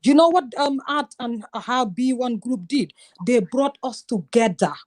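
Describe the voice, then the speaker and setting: A woman from Gambia talks with passion on a casual group call. Her voice sits a bit high. She keeps acting out her own past words as she tells the story. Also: expressive, a little slow, a little mellow. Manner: passionate, emphatic storytelling, quotes herself often.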